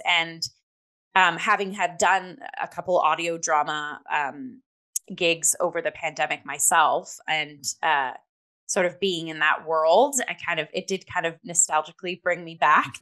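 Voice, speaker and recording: A young adult woman hosting a casual review chat in an enthusiastic, expressive and emphatic way. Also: fairly low pitch. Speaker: host and theatre reviewer.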